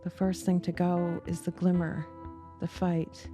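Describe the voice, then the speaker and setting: A woman's voice: lowish voice, completely flat delivery, measured pace, dark tone, faint music behind, close to an everyday voice. A woman performing as narrator in an audio drama, a weary, resigned addict reciting rhymed verse. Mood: resigned, bleak.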